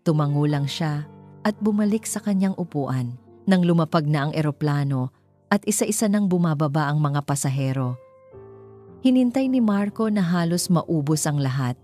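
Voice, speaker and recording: A Filipino woman narrates a scripted drama in a low register. Her tone is dark and mellow, and faint music plays underneath. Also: lively intonation, moderate pace. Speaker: story narrator. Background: background music.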